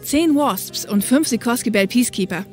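A woman's voice: on the high side, animated, moderate pace, a little mellow, faint music behind, lightly acted. A woman playing a young, matter-of-fact helicopter co-pilot and caring older sister in a German audio drama.

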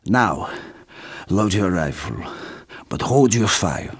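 An actor playing a vengeful, commanding middle-aged Soviet sniper in a video game, with a Russian accent, a gravelly, husky voice and dramatic, deliberate delivery. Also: male voice, sits low, lively intonation, heavily acted. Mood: grim, low intensity. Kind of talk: video game character acting.

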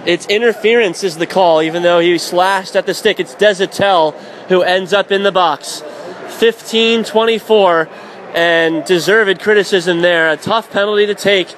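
A man calling college hockey play-by-play for radio, rising to shouts on shots and saves. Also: high-pitched, moderate pace, slight background noise. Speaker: play-by-play announcer.

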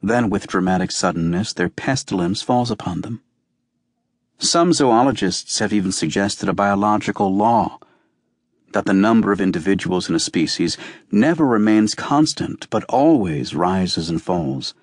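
A man reading a novel as an audiobook narrator, with measured, deliberate phrasing. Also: deep voice, animated, dry recording, studio quality. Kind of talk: scripted literary narration.

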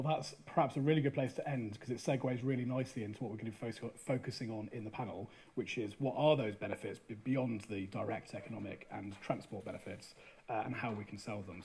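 A man moderating a panel event in a hall, brisk and polite. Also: medium pitch, a little mellow, slight background noise.

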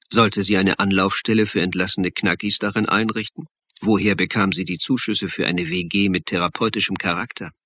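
Wry crime-drama narrator, husky, sharp-edged, measured, dry studio recording. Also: male voice, low-pitched, dry recording, full character voice. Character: wry male narrator, a sober police academy lecturer. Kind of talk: scripted first-person radio drama narration.

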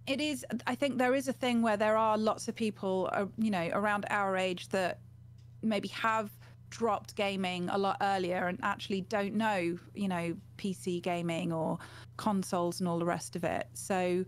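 A woman's voice: mid-range voice, moderate pace. A woman in her forties talking casually as an interview guest, telling anecdotes in a conversational way. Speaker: guest, gaming streamer. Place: small home room, indoors, on a video call. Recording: clear background noise with hum.

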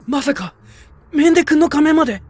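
An earnest schoolboy acted by a man in an amateur audio drama. He is formal and indignant, pitched very high, with faint music underneath. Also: animated, fairly quick, darkish tone, dry recording. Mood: shocked, indignant. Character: earnest, indignant schoolboy club junior challenging his leader.

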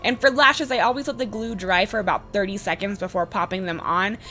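A woman in her twenties with a slightly hoarse voice, giving a casual, chatty makeup tutorial voice-over. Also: medium pitch, a little fast, sharp tone. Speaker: beauty vlogger, host. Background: background music.

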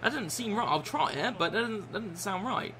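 A young man with a croaky, bright, forceful voice, talking over his gameplay and answering chat. His pitch sits a touch high, and a faint hum of room ambience lies under him. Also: lively intonation, a little fast. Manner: swears often, shouts and laughs at the game. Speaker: gaming streamer. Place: small room indoors.

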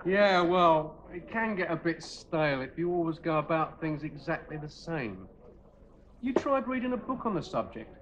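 A man in his thirties playing a cheeky, laddish husband who teases his friend with deadpan quips. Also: fairly high pitch, moderate pace, crowd noise, lightly acted. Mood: teasing. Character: cheeky laddish young husband, dry joker. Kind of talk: 1980s sitcom acting. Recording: dull lo-fi TV audio with hiss.

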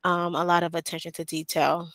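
A young woman speaks through a headset on a video call from a small room, answering interview questions with frequent "um" pauses. Her voice is bright and a little low, and the sound is dry and close. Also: flat delivery, no echo. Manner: hesitant, many "um" fillers. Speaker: job candidate.

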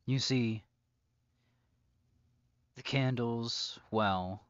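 A man playing a possessive, psychopathic captor lover in an intimate audio roleplay, mockingly sweet and taunting, moving between softness and sharpness. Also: mid-range voice, clean tone, no room sound, highs cut off. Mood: playfully menacing. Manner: drawn-out, taunting, swinging between soft whispers and sharp outbursts.

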